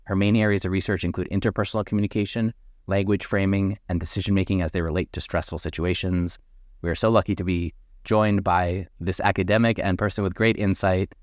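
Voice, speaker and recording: Deep-voiced man reading an introduction. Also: level tone, fairly quick, dry recording, studio quality. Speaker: podcast host. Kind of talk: scripted introduction.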